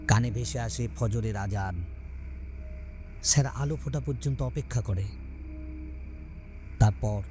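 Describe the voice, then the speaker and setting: A man narrating a scripted horror story in a dramatic, suspenseful way with deliberate pauses. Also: mid-range voice, dark tone. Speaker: storyteller, channel host. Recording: background music under voice. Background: music.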